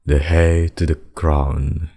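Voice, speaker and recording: A man performs a possessive demon king with a very low, dark voice. He woos in slow, theatrical phrases, smug and courtly, with menace under the sweetness, in an ASMR roleplay. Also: flat delivery, husky, full character voice. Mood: smug, menacing.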